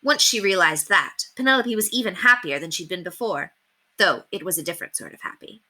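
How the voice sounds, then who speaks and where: A woman giving an expressive, dramatic narration of a children's novel, with deliberate phrasing. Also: fairly low pitch. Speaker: storybook reader.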